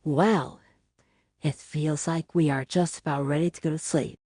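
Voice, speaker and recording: A woman playing a gentle, doting mother who soothes her small baby in a soft, tender, cooing ASMR bedtime roleplay. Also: low-pitched, fairly even intonation, a little slow, breathy edge, no echo, studio quality. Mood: sleepy, soothing. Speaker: ASMR audio roleplay performer.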